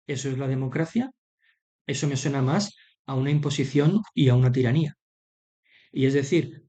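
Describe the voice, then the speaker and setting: Male political commentator, Madrid accent, higher-than-average mellow voice, halting unscripted delivery with stretched words. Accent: Madrid Spanish. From Madrid, Spain. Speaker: commentator presenting slides.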